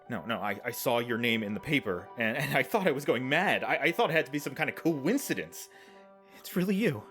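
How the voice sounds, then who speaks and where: An animated male voice from an actor as an earnest young former servant in an audio drama. He stammers and pleads desperately, his pitch swinging widely. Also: a little fast, soft background music. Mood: stunned, emotional.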